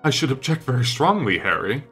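A man voicing an earnest, indignant gentleman painter in an audiobook, speaking emphatically with emotion and reproach. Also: mid-range voice, big pitch swings, fairly quick, breathy edge, soft background music, pitched above the performer's own voice. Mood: firm.

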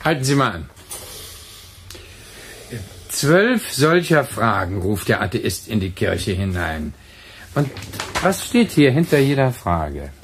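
A man acting a brisk, talkative, emphatic radio dramaturg in a German radio play. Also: on the low side, big pitch swings, a little hiss or hum. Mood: dissatisfied, pondering.

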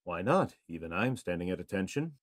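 A weary fantasy nobleman, played by a man, formal and impatient. His husky voice swings up and down in pitch, recorded dry for a visual novel. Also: very animated, fairly quick, dry recording.

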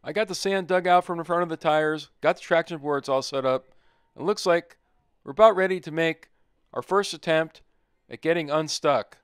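A man's voice: a little high, moderate pace, smooth, dry recording, studio quality. A middle-aged man narrating his off-road trip in a plain-spoken, easygoing voice-over. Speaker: overlanding vlogger.

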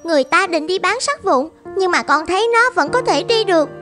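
A woman's voice: very high voice, animated, a little fast, fairly bright tone. A woman voicing a devoted, determined fifteen-year-old girl in a cartoon, polite and earnest. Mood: eager. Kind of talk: animated film dubbing. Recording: music behind the voice.

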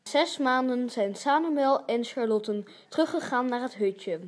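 A woman's voice: on the high side, unhurried. A woman narrating an amateur radio play as a storyteller.

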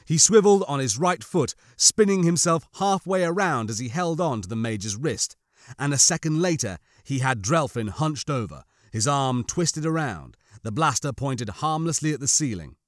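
A man's voice: medium pitch, very animated, normal speed, no room sound. Male audiobook narrator, middle register, suspenseful and dramatic, recorded dry.

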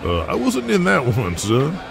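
Guy doing folksy cowboy wrestler, gravelly, animated, music behind. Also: a little high, measured pace, pitched above the performer's own voice, heavily acted. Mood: friendly, amused. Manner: folksy, drawling cowboy talk. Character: big, folksy, hearty male wrestling hero.

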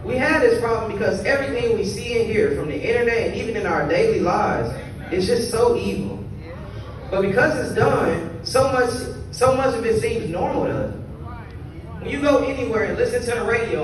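A man's voice: sits high, expressive, fairly quick, a crowd in the background. A teenage young man giving an earnest youth sermon in a large church sanctuary, reading scripture and preaching in short phrases. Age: teens. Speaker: youth speaker. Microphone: pulpit mic filmed by a phone from the pews. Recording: roomy far-off phone recording in a large hall. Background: congregation ambience.

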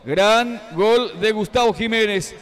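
A man with a Paraguayan Spanish accent calling a youth futsal match live, with quick, excited play-by-play that climbs at scoring chances. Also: sits high. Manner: rapid, excited calls that rise near goal chances. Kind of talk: live sports commentary.

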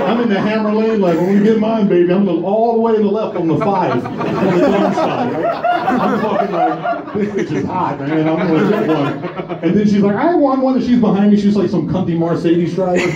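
Man, 30s, crude club comic working the front row; animated, slightly high voice, distant dull room recording. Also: reverberant. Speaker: stand-up comedian. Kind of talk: open-mic stand-up set. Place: small comedy bar stage with audience. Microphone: handheld stage mic, filmed from far above. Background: audience laughter.

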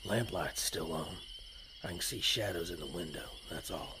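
A man's voice: low-pitched, normal speed, background noise, lightly acted. An actor playing a sly, menacing outlaw henchman with cocky, folksy talk in a western audio drama. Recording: outdoor night ambience with crickets. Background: crickets and insects.

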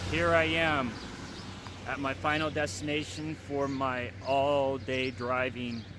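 Chatty man vlogging from his car. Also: fairly high pitch. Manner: relaxed, chatty narration to camera. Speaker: travel vlogger. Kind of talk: casual travel vlog. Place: inside a car while driving, also outdoors at stops. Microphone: phone camera at arm's length. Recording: car and road noise behind.

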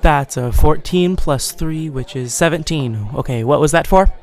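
A woman with a slightly low voice takes part in a tabletop game. She talks casually about rolls and what her character does. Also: normal speed, darkish tone. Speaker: player. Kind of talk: casual tabletop role-play.

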